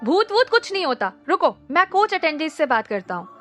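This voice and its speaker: A woman voicing a harried adult male office boss who shouts in panic and drops English words into his speech. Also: sits high, moderate pace, faint music behind. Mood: irritated.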